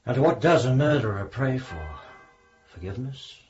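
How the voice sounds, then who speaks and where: A man's voice: medium pitch, expressive, moderate pace, a little mellow, faint music behind, close to an everyday voice. An actor playing a sceptical, impatient Victorian clergyman in a radio drama, pointed and exclamatory. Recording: dull lo-fi audio.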